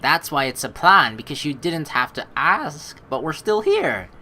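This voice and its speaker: A young woman reading a visual novel aloud with joking, swearing asides, animated and quick to laugh. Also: low-pitched, a little hiss or hum. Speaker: let's play streamer reading a visual novel.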